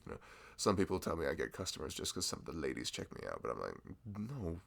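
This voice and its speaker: A man's voice: big pitch swings, quick pace, fairly bright tone, exaggerated voice. A man playing a sweet, bashful young male florist in a chatty, hesitant ASMR roleplay, with a velvety voice. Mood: playful, modest. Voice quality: velvety, low, creaky. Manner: chatty, hesitant, rambling, self-deprecating. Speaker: ASMR voice performer. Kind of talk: ASMR roleplay, improvised casual chat.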